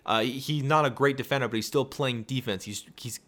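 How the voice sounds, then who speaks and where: A tired young man with a raspy voice, hosting a casual sports podcast and rambling through excited rhetorical questions. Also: medium pitch, fairly quick. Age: young adult. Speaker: podcast host.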